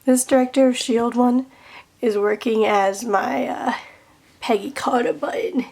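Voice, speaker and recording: A young woman, hoarse from losing her voice, chatting casually to her vlog viewers with lots of fillers and little laughs. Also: fairly high pitch, steady tone, measured pace, a little mellow, distant mic. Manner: chatty, giggly, rambling with fillers. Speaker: vlogger. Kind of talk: casual chat.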